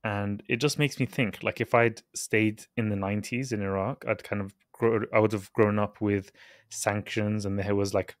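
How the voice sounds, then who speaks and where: A man's voice: lowish voice, fairly even intonation, moderate pace, no room sound, studio quality. A man, explaining casually as a podcast guest. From London, England; born in Baghdad. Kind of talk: casual explanatory interview talk.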